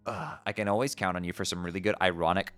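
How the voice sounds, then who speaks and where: A man voicing a mopey, self-pitying teenage troll boy who talks low and sulky. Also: fairly even intonation, fast, fairly bright tone, no room sound, faint music behind.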